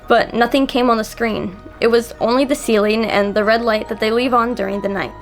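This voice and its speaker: A woman with a fairly high voice narrates a creepy story as a storytime host. She reads it aloud over faint background music.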